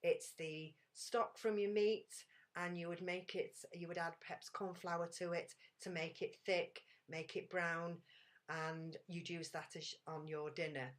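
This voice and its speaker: Woman with a lowish voice. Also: steady tone, a little slow. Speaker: guest author. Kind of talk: casual interview over video call.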